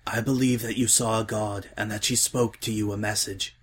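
A man voicing a calm, doubtful male changeling who speaks softly and in measured terms, with a husky voice. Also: fairly low pitch. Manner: soft-spoken, measured.

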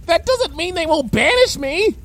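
A man acts out an anxious female pony from a storybook. The voice is pitched very high and swings widely, with a fretful, exasperated edge that tips toward panic. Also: huge pitch swings, drawn-out words, pushed and bright, heavily acted. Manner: shrieking, whimpering, fretful.

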